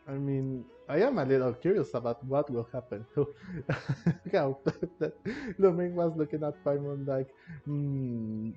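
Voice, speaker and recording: A man chats casually over a game in a dark, relaxed, slow voice with halting, non-native phrasing. The mic sounds muffled, and faint music plays behind him. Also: medium pitch. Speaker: gaming commentator. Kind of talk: casual playthrough commentary.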